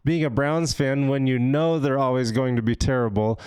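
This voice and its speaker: A man's voice: medium pitch, moderate pace, clear, resonant tone, no room sound. A man in his forties, a guest on a podcast, answering questions in an easygoing way with frequent chuckles. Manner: easygoing, chuckles often, talks of himself as loud. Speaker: guest, festival ministry leader. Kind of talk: casual interview chat.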